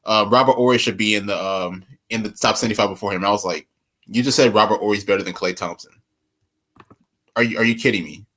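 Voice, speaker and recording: A man's voice: on the low side, quick pace, a bit bright. A man in his twenties chatting casually and rambling about basketball, laughing often. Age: 20s. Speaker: podcast co-host. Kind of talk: casual sports chat.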